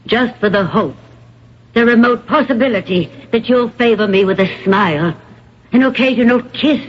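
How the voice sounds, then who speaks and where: A woman playing a proud, worldly older stage actress with a low, husky voice and poised, theatrical delivery, in old-time radio drama. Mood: grandly theatrical. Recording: dull, muffled vintage radio recording.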